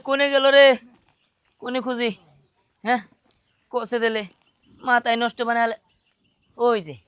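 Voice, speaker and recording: A man's voice: extremely high pitch, bright, forceful tone, clean tone, dry recording. A man playing a furious, wronged husband who rants and scolds in an amateur village comedy drama. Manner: scolding, ranting. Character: furious wronged husband out to thrash his rivals.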